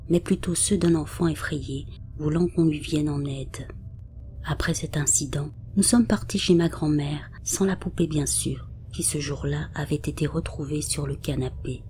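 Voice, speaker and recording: A woman reading scripted paranormal stories as a narrator, in a soft, half-whispered delivery. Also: sits low. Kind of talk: scripted narration read aloud. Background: faint background music.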